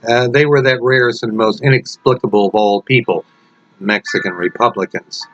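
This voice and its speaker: A man reading his own story aloud as a dramatic narration, with dark humour and wry, deliberate phrasing. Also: mid-range voice, fairly even intonation, highs cut off, a little hiss or hum. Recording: loud music under the voice. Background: prominent background music.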